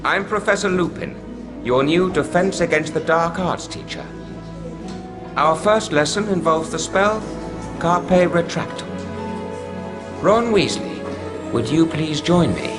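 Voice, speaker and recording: A kindly English wizard schoolteacher in a video game. His voice sits a little high, and he speaks in a calm, measured, reassuring way over prominent music.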